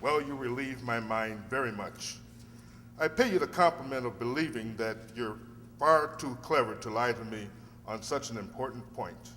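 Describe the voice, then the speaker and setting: Defence lawyer, played by a man, deliberate questioning radio-drama voice, mid-range, slight hum behind. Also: mid-range voice, pushed and bright, natural voice. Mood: probing. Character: measured, probing male defence lawyer. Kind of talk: amateur radio drama acting. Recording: echoey hall, faint hum.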